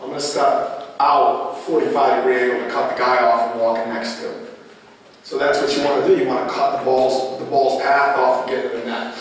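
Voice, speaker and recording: Hoarse man coaching in an echoey hall. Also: mid-range voice, fairly bright tone, distant mic. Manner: repetitive, drilling phrases, demonstrative. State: raspy voice. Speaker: lacrosse goalie coach. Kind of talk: coaching clinic lecture.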